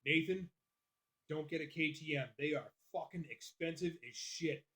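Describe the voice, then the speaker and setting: A man hosts a casual live stream, answering his viewers one by one in a loose, profane way. His voice sits a bit high for a man. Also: moderate pace, fairly bright tone. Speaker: livestream host.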